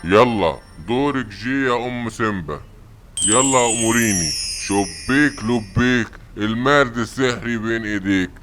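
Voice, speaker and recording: A man's voice: over music, close to an everyday voice. A man voicing a grand, teasing male genie in a cartoon, speaking with an Egyptian Arabic accent. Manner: grand, slow and teasing with booming laughs. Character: booming magic male genie from a washing machine.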